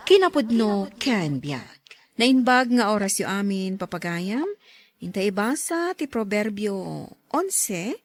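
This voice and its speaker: A woman's voice: mid-range voice, no room sound. A woman with an Ilocano accent hosting a drama podcast with a scripted, dramatic introduction. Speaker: podcast host and narrator.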